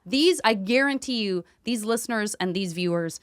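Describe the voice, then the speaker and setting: Expressive woman co-hosting a podcast. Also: medium pitch, normal speed, clean tone, dry recording, clean studio sound. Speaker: podcast co-host. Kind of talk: casual podcast chat.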